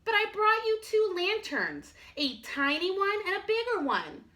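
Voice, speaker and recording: A big grown bear from a bedtime storybook, put on by a woman reader. The delivery is bright and very animated, with a rising, coaxing lilt. He sounds patient and caring as he questions and soothes. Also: very high voice, unhurried, voice pushed up, full character voice. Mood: reassuring. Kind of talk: children's picture book read-aloud.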